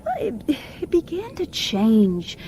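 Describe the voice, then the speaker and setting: Anxious, high-voiced wife. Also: female voice, huge pitch swings, slow pace, dark tone, a little hiss or hum, exaggerated voice, low-quality recording. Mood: distressed, pleading. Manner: hesitant, breathless, pleading. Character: anxious, guilt-ridden wife confessing to her husband. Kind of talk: radio drama acting.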